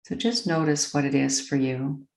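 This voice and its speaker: Low, creaky-voiced woman guiding meditation. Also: steady tone, echoey room, rough recording. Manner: gentle, deliberate, with long pauses. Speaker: guided meditation coach. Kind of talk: slow, unscripted guided meditation.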